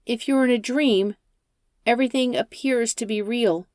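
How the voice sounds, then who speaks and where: A woman's voice: a little high, normal speed, no room sound, studio quality, pitched above the performer's own voice, natural voice. A woman narrator playing a distraught, hostile young hitchhiker in an audiobook, with an accusing, emotional delivery. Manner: shouting, tearful, accusing.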